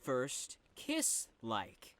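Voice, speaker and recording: A man's voice: medium pitch, wildly swooping pitch, drawn-out words, a bit bright, no room sound, heavily acted. A young man acting a cocky, teasing troublemaker in an audio drama, brash and flippant. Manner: brash, flippant, drawling taunts.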